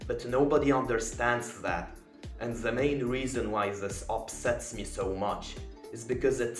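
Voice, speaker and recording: A man's voice: medium pitch, reverberant. A young man whose first language is Polish, giving an earnest, emphatic self-improvement commentary. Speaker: video essayist.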